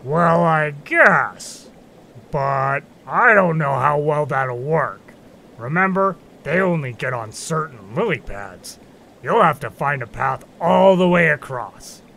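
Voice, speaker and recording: Man acting grumbling male marsh keeper, creaky, lively pitch, folksy and casual, amateur audio drama. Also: takes it slowly, a little hiss or hum, full character voice, rough recording. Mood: explaining.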